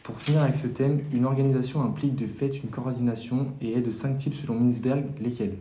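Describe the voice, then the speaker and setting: A man's voice: medium pitch, steady tone, brisk, background noise. A young man hosting a student quiz show, reading questions and explanations in a scripted announcer tone. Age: young adult.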